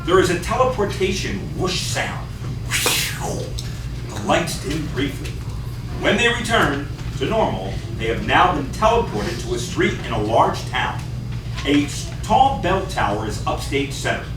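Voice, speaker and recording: A man's voice: on the high side, very animated, a little slow. A middle-aged man reading stage directions as scripted narration for a play. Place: small theatre stage, far camera. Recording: distant, very echoey, noisy theatre recording.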